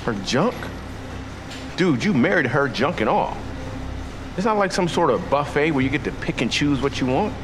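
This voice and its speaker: A man's voice: fairly high pitch, lively intonation, moderate pace, long pauses, background noise, lightly acted. An actor playing a blunt paramedic friend in a film, slangy and confrontational as he teases and moralizes. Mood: teasing, confronting. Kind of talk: naturalistic film dialogue.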